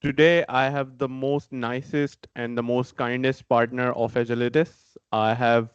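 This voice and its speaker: A man hosts a company podcast with friendly, upbeat energy and slightly unidiomatic phrasing. His voice is smooth and resonant. The recording sounds a little muffled and dry, with no room echo. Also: mid-range voice, flat delivery, measured pace. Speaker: podcast host, company CMO.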